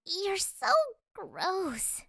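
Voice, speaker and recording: A woman voicing a cutesy, excitable small animal-eared girl in an audiobook, with a high childlike voice. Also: vocal fry, no echo, studio quality, heavily acted. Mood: disgusted. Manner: drawn-out, expressive. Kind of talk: audiobook character voice.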